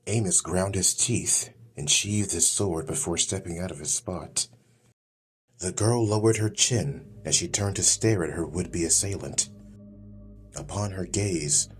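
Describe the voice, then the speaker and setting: A man narrates a fantasy story in a low voice. He reads as a scripted audiobook storyteller, with soft music running behind him.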